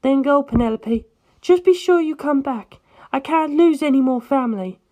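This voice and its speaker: A woman voicing a gentle, worried, maternal woman who is protective of her family, in a story reading. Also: sits high, expressive, moderate pace, voice pushed up. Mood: tender, resigned.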